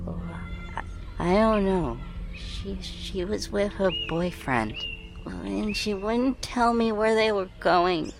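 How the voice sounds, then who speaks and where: A woman playing a shy, polite little boy in an audio drama, speaking softly and hesitantly. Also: mid-range voice, vocal fry, noisy background. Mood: hesitant, uneasy. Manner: hesitant, halting, soft-spoken.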